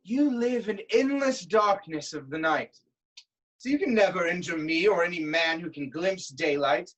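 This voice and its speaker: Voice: medium pitch, moderate pace, lo-fi sound, natural voice. An actor as a proud, suspicious, accusing king. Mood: outraged, scornful. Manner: commanding, accusatory, declamatory. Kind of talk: dramatic classical Greek tragedy reading.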